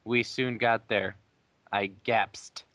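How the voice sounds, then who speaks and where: Guy reading out fanfic kinda slow, snarky asides, really dry room sound. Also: lowish voice, fairly even intonation, sharp tone, dry recording. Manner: breaks off to mock the text. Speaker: player in a dramatic reading game. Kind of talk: dramatic reading of fan fiction with joking asides.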